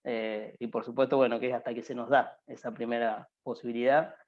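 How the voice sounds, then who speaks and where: A man's voice: mid-range voice, level tone, fairly quick, muffled recording. A young man with an Argentine accent giving rambling, informal career advice to students as a workshop panelist over a video call, with many fillers and restarts. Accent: Argentine Spanish.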